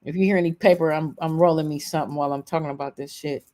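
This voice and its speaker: A mid-pitched American voice hosts a casual live stream. The delivery is conversational and opinionated, with emphatic points and blunt profanity, like someone explaining history off the cuff. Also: steady tone, rapid-fire, warm tone. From United States. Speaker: live stream show host.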